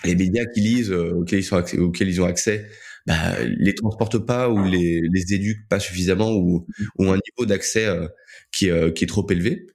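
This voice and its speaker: A man's voice: low-pitched, fairly quick, a little mellow. A young man telling his story casually as an interview guest, hesitant and often repeating words. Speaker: interview guest, co-founder of a digital media outlet.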